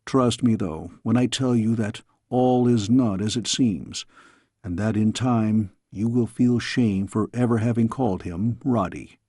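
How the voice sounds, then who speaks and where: A man voicing a fatherly storybook king, grave yet tender, with measured, formal speech. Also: fairly low pitch, dark tone, slightly rough, no room sound, studio quality.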